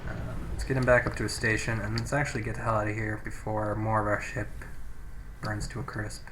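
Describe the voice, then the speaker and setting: A man's voice: on the low side, monotone, fairly quick. A man giving casual let's play commentary, thinking aloud in an offhand way into a poor-quality microphone. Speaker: gaming video host. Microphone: cheap low-quality microphone. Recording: poor microphone, faint background noise.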